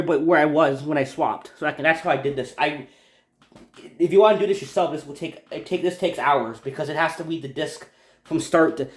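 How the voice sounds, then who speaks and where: A man giving casual, unscripted gaming commentary, rambling and repeating himself as he stumbles over his words. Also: fairly high pitch. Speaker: video game YouTuber. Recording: echoey room.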